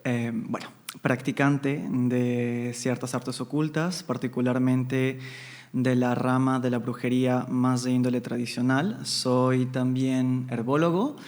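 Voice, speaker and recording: A man from Italy, a podcast guest, talking in a measured, reflective way and laughing often in casual conversation. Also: mid-range voice, flat delivery, darkish tone.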